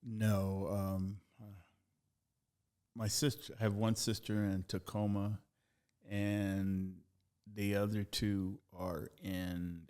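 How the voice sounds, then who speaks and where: An older man from Texas, a guest artist, telling stories in a relaxed way and often answering with a string of yeahs. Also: on the low side, takes it slowly, clear, resonant tone. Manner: relaxed, repeats "yeah" often, chuckles at his own stories. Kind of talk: casual storytelling interview.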